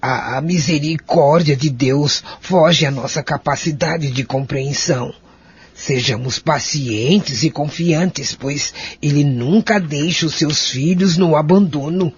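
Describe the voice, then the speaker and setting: A man acting a patient, devout friend who gives spiritual counsel in a Brazilian Portuguese audio drama, measured and gently instructive. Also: fairly high pitch, breathy edge, heavily acted. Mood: calm, reassuring. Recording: dull, muffled audio.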